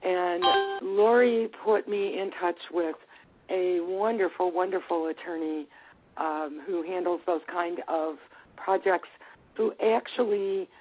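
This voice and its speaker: Froggy hoarse lady calling in, kinda low, muffled line. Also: steady tone, slow pace. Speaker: caller. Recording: dull lo-fi call audio.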